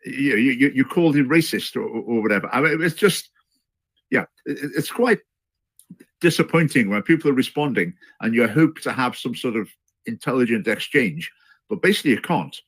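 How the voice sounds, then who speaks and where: A man speaking in a measured, hesitant way in a video commentary, with many fillers and restarts. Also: medium pitch, animated, fairly bright tone. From Scotland. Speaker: commentator in a played clip.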